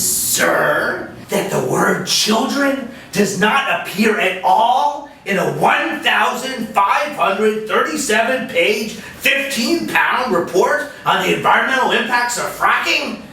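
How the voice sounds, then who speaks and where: A man's voice: high-pitched, lively intonation, slow, hardly any pauses, pushed and bright, full character voice. A man voicing a mock-earnest, sarcastic male interviewer puppet in a satirical puppet show. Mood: ironic. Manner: mock-earnest, ironic praise. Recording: echoey room, mic far off.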